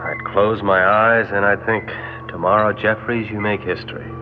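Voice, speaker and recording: An actor playing an eager, wonderstruck young space explorer in old-time radio drama style, excitable and dreamy. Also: male voice, on the low side, normal speed, noisy background, natural voice. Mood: excited, restless. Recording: dull old radio, music behind.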